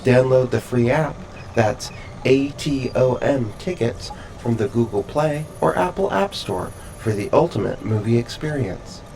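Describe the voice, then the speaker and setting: A man's voice: mid-range voice, measured pace, a little mellow, slight background noise, rough recording. A man reading a scripted sponsor message and episode introduction as announcer.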